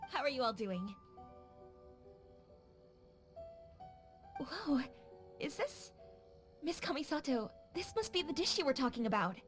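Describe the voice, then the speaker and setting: A woman playing a friendly, warm-hearted young restaurant owner in a game, upbeat and expressive in a neighborly way. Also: sits high, moderate pace, faint music behind. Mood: surprised, delighted. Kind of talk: game cutscene voice acting.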